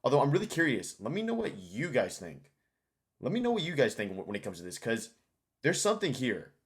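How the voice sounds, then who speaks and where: A man's voice: mid-range voice, huge pitch swings, brisk. A man hosting a casual screen-share tutorial, informal and thinking aloud as he goes. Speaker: tutorial host.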